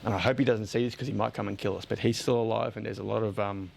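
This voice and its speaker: A man's voice: on the low side, steady tone, fast, gravelly, a little hiss or hum. A man with an Australian accent chatting casually as a podcast host, blunt and joking as he rambles along. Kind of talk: casual chat.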